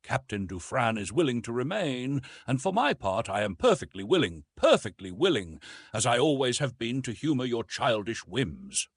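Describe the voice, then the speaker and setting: A man's voice: mid-range voice, sing-song, normal speed, breathy edge, dry recording, voice pushed up. A man voicing a fussy, pompous, absent-minded old professor in an audiobook, repeating himself as he talks. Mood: fussy, indulgent. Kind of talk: dramatised audiobook reading.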